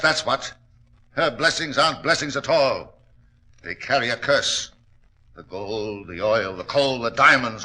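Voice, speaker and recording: A man's voice: a little high, bright, forceful tone. A man playing a desperate, fanatical villain in melodramatic 1940s radio style. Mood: bitter, brooding. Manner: melodramatic, brooding. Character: desperate, fanatical male villain trapped in a mine. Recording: noisy old 1940s radio recording.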